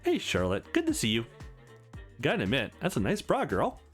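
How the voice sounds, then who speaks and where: A man's voice: mid-range voice, huge pitch swings, normal speed, faint music behind. A man voicing a brash, playful young woman in a visual novel, teasing with mock innocence.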